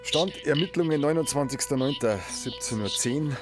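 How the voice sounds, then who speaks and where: A man's voice: fairly high pitch, normal speed, music in the background, lightly acted. An actor playing a male police officer who dictates an official report in a formal manner.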